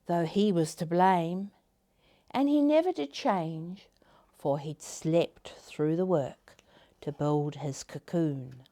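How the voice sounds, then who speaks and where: A woman reading a children's story aloud in an expressive, dramatic manner, dropping into hushed tones for effect. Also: deep voice.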